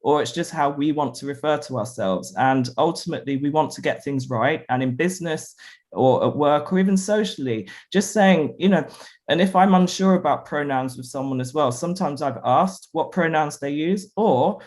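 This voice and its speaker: Chatty higher-voiced man. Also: normal speed, a little mellow. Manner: chatty, laughs easily, lots of "you know" and "like". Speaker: panelist. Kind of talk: casual panel discussion. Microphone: video call.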